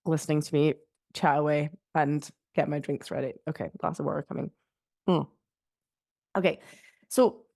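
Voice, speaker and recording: A young woman hosting a relaxed solo podcast from her spare room, sounding congested and nasal from blocked sinuses. She chats in a rambling way, with lots of "like" fillers and light laughs. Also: sits low, vocal fry, no room sound, studio quality. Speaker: podcast host.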